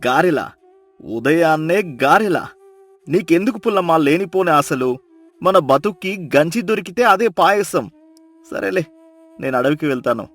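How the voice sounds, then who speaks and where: A man's voice: fairly high pitch, expressive, normal speed, pauses often, fairly bright tone. A man playing a meek, weary, poor woodcutter in a folk tale, pleading and grumbling. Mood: groggy, resigned.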